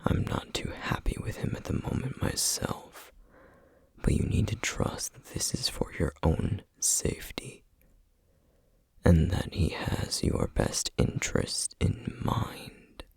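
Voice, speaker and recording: A man playing a stern, duty-bound flame demon in an ASMR roleplay, speaking in a breathy, husky half-whisper with grave, measured formality. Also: rumbling low, wildly swooping pitch, no room sound, studio quality, full character voice. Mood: stern, challenging. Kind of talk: ASMR roleplay, scripted acting.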